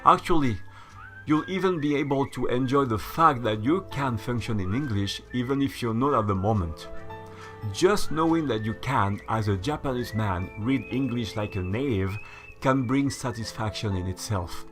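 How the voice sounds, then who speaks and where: Man lecturing over soft music. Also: mid-range voice, normal speed. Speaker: channel host. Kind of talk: scripted voice-over essay.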